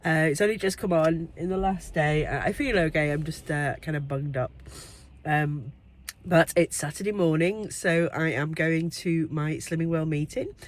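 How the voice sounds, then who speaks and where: A woman's voice: low-pitched, normal speed. A woman talking casually to camera as a weight-loss vlogger, chatty and reflective with frequent ums and light laughs.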